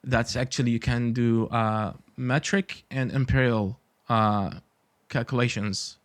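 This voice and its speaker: A man's voice: medium pitch, steady tone, unhurried, dry recording. A man from Iraq, an architect in his forties, chatting as a podcast guest, with many fillers and dry humor. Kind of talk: casual interview talk.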